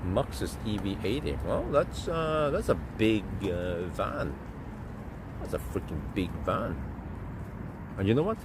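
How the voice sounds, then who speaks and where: Man, deep low voice, rambling live-stream chat, inside a car, clear road noise behind. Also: unhurried. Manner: rambling, chatty, thinks aloud with fillers and restarts. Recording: steady vehicle and street noise.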